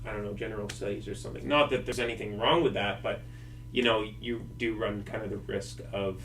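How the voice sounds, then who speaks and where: A man's voice: lowish voice, brisk. Young male host, faint hum behind. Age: young adult. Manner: relaxed, lots of "like" and "you know". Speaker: podcast host. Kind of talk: casual podcast chat. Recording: distant mic with faint hum.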